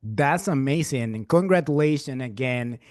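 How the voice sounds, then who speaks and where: A man's voice: mid-range voice, animated, normal speed, a little mellow, dry recording. Guy hosting a doctor interview, says everything twice, real dry close sound. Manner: effusive, repeats praise. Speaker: interviewer, program ambassador.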